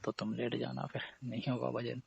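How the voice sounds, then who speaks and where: A man's voice: mid-range voice, completely flat delivery, normal speed, vocal fry, husky, dry recording, highs cut off. A young man in an intimate, casual phone chat, teasing and persistently asking questions. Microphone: phone call.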